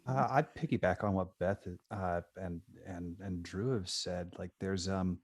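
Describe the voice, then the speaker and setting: Halting low-voiced man, dry recording. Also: steady tone, husky, no room sound. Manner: halting, tentative, searching for words. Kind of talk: thoughtful panel discussion.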